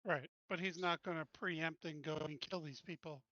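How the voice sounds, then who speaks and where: A fairly high, bright voiced man speaks bluntly at a game table, weighing a deal. His sound is tense and forward, recorded dry and a little dull. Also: fairly even intonation, normal speed, no room sound. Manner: blunt, firm, talks through terms. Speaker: player. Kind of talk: casual in-character negotiation at a game table.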